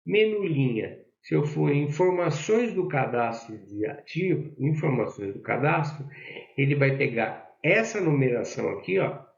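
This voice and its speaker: A man with a Brazilian Portuguese accent teaching a software tutorial, explaining step by step and often checking in with the listener. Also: a little high, sing-song, normal speed, vocal fry. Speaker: software instructor. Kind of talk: screen-share software tutorial. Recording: echoey, dull lo-fi audio.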